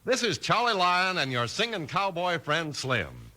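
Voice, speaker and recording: A man with an American accent and a husky voice, announcing and narrating in scripted 1950s radio style. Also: on the high side, huge pitch swings, unhurried, pushed and bright, soft background music.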